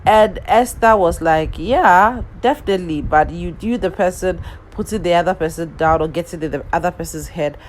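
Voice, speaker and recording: A woman's voice: lowish voice, normal speed, a little hiss or hum. A woman with a cold, sounding nasal, chatting casually through a rambling recap full of ums and restarts. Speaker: vlogger recapping a reality show.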